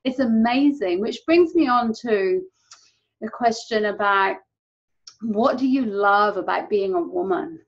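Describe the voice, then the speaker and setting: A woman's voice: medium pitch, a little slow, dull audio. English woman hosting over video call. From England. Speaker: interview host. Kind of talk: casual interview chat.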